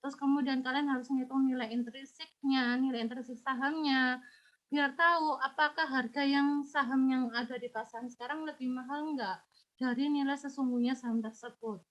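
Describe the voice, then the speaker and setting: Woman teacher, stuffy from a cold, high voice, patient step by step explaining, bit muffled lo-fi sound. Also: steady tone, moderate pace, a bit bright. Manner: patient, step-by-step explaining, addresses students directly. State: has a cold. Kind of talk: explaining exam answers.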